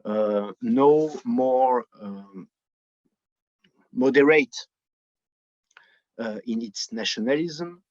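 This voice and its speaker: A man's voice: mid-range voice, extremely slow, pauses often, darkish tone, no echo, dull audio. A man from France with a French accent, giving political commentary in conversation as an interview guest and searching for his words. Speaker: interview guest, book editor.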